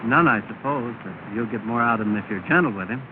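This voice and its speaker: A man's voice: mid-range voice, quick pace, natural voice. An actor playing a polite, good-natured young man, courteous and mildly witty, in 1930 film acting. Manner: courteous, mild, dryly humorous. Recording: scratchy, tinny 1930 film soundtrack with hiss.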